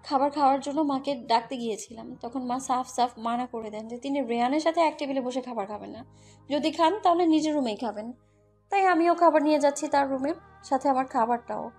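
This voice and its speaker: A woman's voice: high voice, fairly quick, darkish tone. A woman narrating a romantic audio story in the first person, with scripted delivery. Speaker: story narrator, also voicing the heroine. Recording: background music under voice. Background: music.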